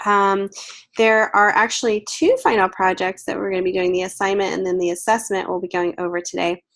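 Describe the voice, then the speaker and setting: A woman's voice: mid-range voice, a bit bright. A woman teaching a recorded online course, a bit nasal from a head cold, chatty and reassuring with many fillers. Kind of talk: recorded lecture.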